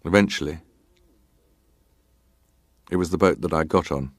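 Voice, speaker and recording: A man's voice: deep voice, measured pace, no echo, studio quality. An actor playing a stoic ship's officer giving testimony at a formal hearing, clipped and matter-of-fact in his answers. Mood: stoic, terse. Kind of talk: dramatized reading of hearing testimony.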